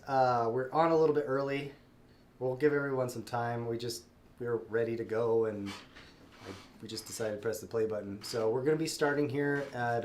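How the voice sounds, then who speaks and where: A man's voice: mid-range voice, a little mellow. Man, stuffed up with a cold, live shop stream host, rambling, fillers, faint background noise. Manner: friendly, rambling, many fillers and restarts. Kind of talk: casual live-stream chat.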